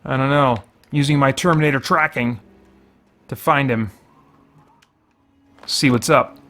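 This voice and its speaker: A man's voice: medium pitch, measured pace, fairly bright tone. A man giving casual gameplay commentary as a show host, conversational and musing as he thinks aloud. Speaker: gamer, host of a faith and geek culture show.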